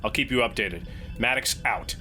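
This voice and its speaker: A man's voice: medium pitch, moderate pace, over music. A man chatting casually as a player in a tabletop roleplaying game, taking the part of a captain. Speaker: player acting as starship captain.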